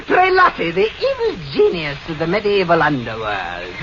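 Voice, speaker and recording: A man's voice: fairly high pitch, wildly swooping pitch, normal speed, a crowd in the background. An actor playing a mad, grandiose theatrical Frenchman who declaims pompously in an old radio drama. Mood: grandiose, crazed. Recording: muffled, noisy old radio recording.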